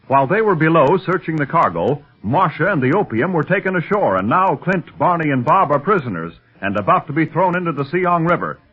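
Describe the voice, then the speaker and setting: A man's voice: fairly high pitch, husky. A man narrating an adventure serial as an announcer in 1930s radio style. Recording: muffled 1930s radio recording, music behind.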